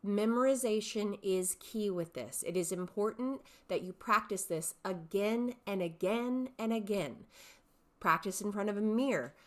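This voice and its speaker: A woman drama teacher giving instructions and narrating, with deliberate emphasis. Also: medium pitch. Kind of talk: instructional talk and poem narration.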